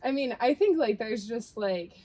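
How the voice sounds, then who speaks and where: A woman's voice: on the high side, animated, normal speed, warm tone. A woman who works as a restaurant manager, talking in a chatty, hesitant way with many fillers. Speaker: restaurant assistant general manager. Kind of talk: casual chat.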